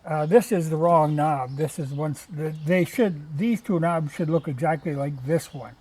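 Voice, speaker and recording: Folksy rambling man, slightly high voice. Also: normal speed, a little mellow, husky. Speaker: vlogger showing old radios from a junk collection. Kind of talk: casual unscripted show-and-tell.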